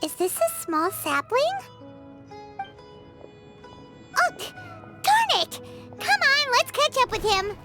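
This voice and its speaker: Tiny childlike game fairy, very high squeaky voice, swooping pitch, indignant, exclamatory, music behind. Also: female voice, sing-song, a little slow, heavily acted. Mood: amazed. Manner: exclamatory, speaks of self in third person. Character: small childlike floating fairy companion, excitable and indignant. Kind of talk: video game voice acting.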